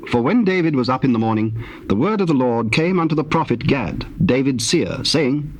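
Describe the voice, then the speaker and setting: A man narrating dramatized scripture in a measured, formal manner. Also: medium pitch, animated. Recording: faintly noisy old cassette tape.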